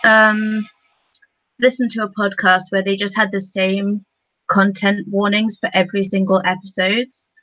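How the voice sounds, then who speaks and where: A woman speaks on a podcast panel in a mid-range voice, with dry, close sound that is dull and lo-fi. She thinks aloud haltingly and keeps it conversational. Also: mid-range voice, level tone, fairly bright tone, dry recording. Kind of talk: casual panel discussion.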